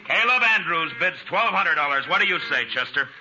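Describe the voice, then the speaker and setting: An American man playing a steady, wry frontier lawman in a 1950s radio western, plain-spoken and deliberate. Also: fairly high pitch, crowd noise, lightly acted. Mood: dry, deliberate. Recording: muffled, noisy old radio broadcast.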